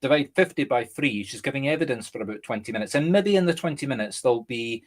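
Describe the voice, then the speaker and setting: A Scottish man, a medium and co-host, chatting casually and explaining through lively examples. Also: mid-range voice, quick pace. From Scotland. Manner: animated, explains through examples and acted-out quotes. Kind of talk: casual conversation.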